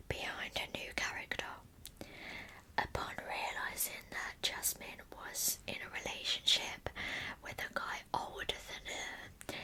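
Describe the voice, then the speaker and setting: A woman whispering in a breathy, unvoiced ASMR style as she tells a true crime story, soft and deliberate, with chatty asides. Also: rough recording.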